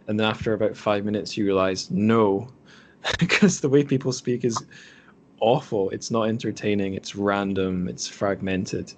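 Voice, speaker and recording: A man's voice: fairly low pitch, normal speed, noisy background. A man hosting a casual podcast conversation, thinking aloud a little hesitantly. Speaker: podcast host.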